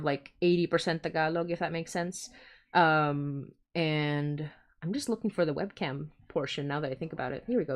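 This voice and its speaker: A woman hosting a casual livestream, chatting informally and often interrupting herself as she goes. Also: on the low side. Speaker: podcast host and creator.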